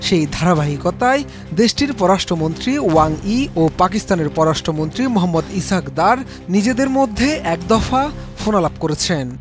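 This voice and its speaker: A high-pitched man with a Bangladeshi accent narrates a scripted news report. He speaks as a reporter, with clear background music playing under the voice. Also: lively intonation, brisk.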